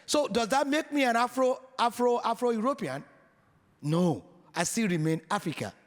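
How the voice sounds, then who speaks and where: Give me an emphatic man with a high voice, in a big hall with long reverb. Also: moderate pace. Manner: emphatic, repeats his point. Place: large hall.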